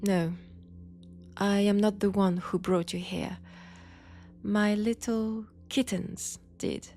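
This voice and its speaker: A woman voicing a seductive, menacing ancient vampire in an intimate audio roleplay, with a French accent and a breathy delivery that dips into whispers. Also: mid-range voice, fairly even intonation, slow, dark tone. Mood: tender, possessive.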